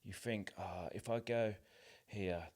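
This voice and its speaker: A man's voice: lowish voice, slow, a little mellow. A man speaking candidly and encouragingly as a guest in a casual interview. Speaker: podcast guest, actor. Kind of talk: casual personal interview chat.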